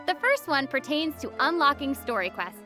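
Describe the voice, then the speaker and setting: A woman's voice: high-pitched, sing-song, normal speed, music in the background. A woman co-hosting a game update show in an upbeat, semi-scripted presenting style.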